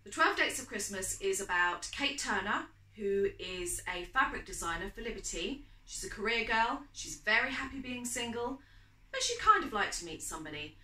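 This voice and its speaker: A woman's voice: a little high, sing-song, moderate pace, bright, forceful tone, echoey room. A woman author reading romantic fiction aloud in a storytelling style. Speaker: author reading from own novel.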